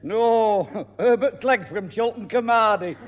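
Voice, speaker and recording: A blunt Lancashire fiddler passing himself off as an Italian serenader, his voice set very high. His talk is homely and plain northern. The old radio recording is dull with studio echo. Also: slow pace, noisy background.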